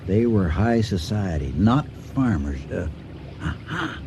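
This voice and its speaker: An actor playing a polite older farmer at sea in a radio drama, hesitant, understated and suspicious. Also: male voice, lowish voice, sing-song, unhurried, background noise. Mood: hesitant, uneasy.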